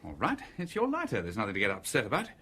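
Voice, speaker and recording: A man in a radio drama playing a cool-headed, commanding British gentleman schemer, brisk, wry and bossy. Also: on the low side, huge pitch swings, husky, exaggerated voice.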